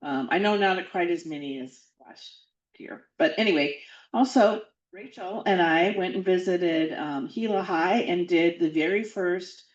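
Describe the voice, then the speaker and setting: A woman's voice: on the low side, measured pace, echoey room, highs cut off. A woman giving a casual civics update at a membership meeting, talking loosely with fillers and restarts.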